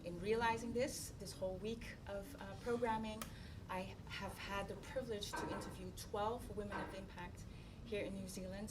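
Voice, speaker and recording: Upbeat woman from the Netherlands speaking far off. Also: mid-range voice. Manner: upbeat, grateful, playful. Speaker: founder and speaker. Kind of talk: speech to an audience. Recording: distant mic in a noisy room.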